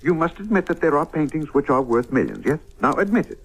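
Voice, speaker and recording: A man's voice: on the high side, expressive, quick pace. An actor playing an earnest, eager English painter who narrates his story in an old radio drama. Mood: persuasive.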